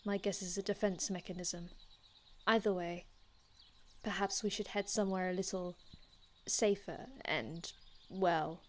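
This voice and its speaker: A woman's voice: on the low side, fairly even intonation, measured pace, close to an everyday voice. A woman playing a courteous, ancient vampire healer in an ASMR roleplay, speaking formally and reassuringly with archaic phrasing and small stammers. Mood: gently reassuring. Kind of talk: ASMR roleplay, addressing the listener.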